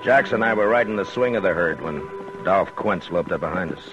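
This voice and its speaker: An American actor playing a weathered, wary frontier lawman in a 1950s western radio drama, laconic, calm and understated. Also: low voice, fairly quick, over loud music. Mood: reflective. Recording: muffled vintage radio recording.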